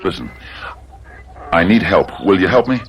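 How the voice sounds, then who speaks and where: An actor playing an anxious, overworked young husband trapped on a farm, emotional and desperate, in a radio play. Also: male voice, sits low, animated, normal speed, crowd noise. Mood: pleading.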